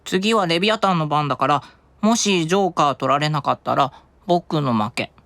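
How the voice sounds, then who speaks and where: A woman's voice: sits low, fairly quick, a bit bright, dry recording, voice pushed down. A woman voicing an earnest, stubborn little angel boy, childlike and theatrical, in an anime-style audio drama. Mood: excited, nervous.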